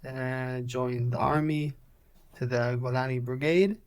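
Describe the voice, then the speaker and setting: A man in his twenties with an Israeli accent, a native Hebrew speaker, telling his story as an interview guest in a measured, halting way. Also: medium pitch, steady tone, clear, resonant tone. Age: 20s. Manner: measured, halting, many "uh" pauses. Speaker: guest, former soldier.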